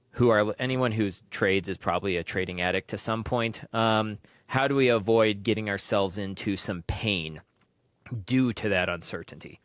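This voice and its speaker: A man's voice: lowish voice, dry recording, studio quality. A man co-hosting a casual podcast, talking in a laid-back, rambling way and calling his partner "man" and "dude.". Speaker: podcast co-host.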